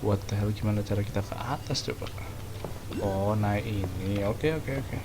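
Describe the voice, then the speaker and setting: A man's voice: on the low side, brisk, background noise. A man with an Indonesian accent giving casual, informal commentary as host of a gaming playthrough. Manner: informal, mixes in English slang. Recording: game music behind the voice.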